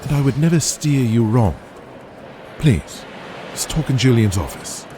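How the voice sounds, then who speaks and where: A man voicing a polite, smooth-talking British wrestling office aide in an audio drama, formal and reassuring. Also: mid-range voice, animated, a little slow, voice pushed up, lightly acted. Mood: coaxing. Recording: noticeable background noise.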